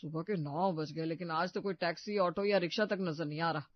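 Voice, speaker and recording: A woman's voice: lowish voice, brisk, dry recording, close to an everyday voice. A woman voicing a tired young office worker in a cartoon, complaining as he walks. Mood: weary. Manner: complaining, weary. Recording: dull, muffled audio.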